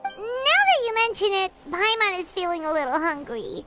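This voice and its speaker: An actress voicing a tiny, excitable childlike fairy companion who talks about herself in the third person, in a video game. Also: female voice, very high-pitched, normal speed, full character voice. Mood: cheerful. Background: game music.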